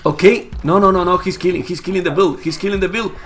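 A hoarse, Spanish-native man casting a live strategy game match, getting louder and repeating himself at big plays. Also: high voice, steady tone, fast, slight background noise. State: hoarse, losing his voice. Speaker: esports caster. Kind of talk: excited live game commentary.

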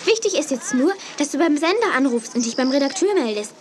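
An actress playing an excitable, cheeky teenage little sister in a children's audio drama. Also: female voice, high-pitched, fairly quick. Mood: gossipy. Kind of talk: 1980s German children's audio drama acting. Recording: faint noise and ambience behind.

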